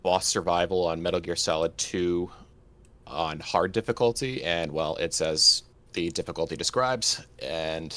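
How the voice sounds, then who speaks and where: A man's voice: low voice, unhurried, fairly bright tone. A man chatting casually as a podcast co-host. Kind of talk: casual chat.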